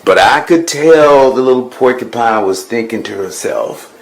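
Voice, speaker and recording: A man narrating as a proud, grumpy male lion king in a storybook, slow, grand and huffy, growling now and then. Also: medium pitch, lively intonation, natural voice, poor audio. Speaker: first-person narrator.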